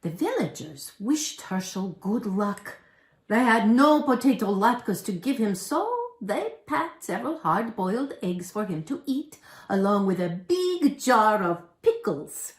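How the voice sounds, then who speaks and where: An older woman in her sixties reads a tale aloud, unhurried and animated. Her storytelling is dramatic, recorded in an echoey room. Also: medium pitch. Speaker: storybook reader. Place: indoors, home dining room. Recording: roomy home recording.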